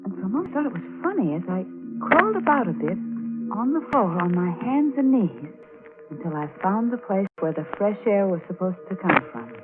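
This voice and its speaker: An actress playing an anxious, haunted wife in a 1940s radio thriller, halting and stammering with breathless dramatic delivery. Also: female voice, mid-range voice, sing-song, lightly acted. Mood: haunted narration. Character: anxious, haunted wife slowly unravelling with dread. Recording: tinny vintage radio recording with music.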